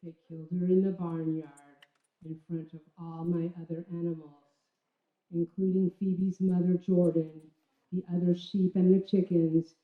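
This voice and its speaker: A woman reading a literary memoir on stage in an expressive, measured storytelling manner, with deliberate dramatic pauses. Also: fairly low pitch. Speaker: reader of a literary memoir at a live storytelling event. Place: indoor stage venue. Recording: echoey room, dull lo-fi sound.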